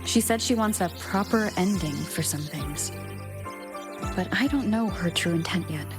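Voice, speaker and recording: A woman's voice: fairly low pitch, measured pace, lightly acted. A woman playing a cautious, reserved young heroine in a video game. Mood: guarded. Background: music.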